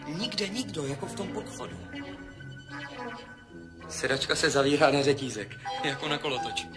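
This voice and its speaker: An actor in a 1970s radio play voicing a dazed, frightened pilot who reports in short, halting phrases. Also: male voice, fairly high pitch, animated, lightly acted. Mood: tense, observing. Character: dazed, frightened male pilot drifting between memory and death. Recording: 1970s radio drama, loud music behind.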